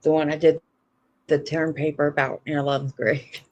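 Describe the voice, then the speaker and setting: A co-host in a casual chat, speaking softly and mostly giving brief replies. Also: medium pitch, flat delivery, moderate pace, dull audio.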